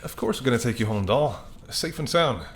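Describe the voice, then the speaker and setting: Wry, courteous mob boss, acted by a man, over rumbling noise. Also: animated, brisk, close to an everyday voice. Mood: apologetic, charming. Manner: conversational, sardonic, exasperated, softening into polite charm. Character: apologetic, wry, courteous male mafia boss. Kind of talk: audio roleplay spoken to the listener.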